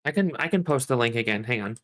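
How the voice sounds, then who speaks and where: A man's voice: mid-range voice, lively intonation, fast talker, fairly bright tone. A man taking part in a casual online meeting before a script reading. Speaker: table read participant.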